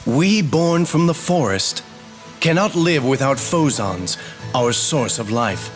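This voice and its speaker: A man's voice: mid-range voice, expressive, slow, over music. A man playing a courtly, dutiful fairy royal advisor in a fantasy game, formal and composed. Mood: explaining. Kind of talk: fantasy video game voice acting.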